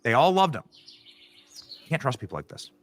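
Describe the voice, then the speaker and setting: A man's voice: medium pitch, sing-song, fairly quick. A man commenting on an online show, sounding incredulous and repeating himself for emphasis. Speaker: political commentator.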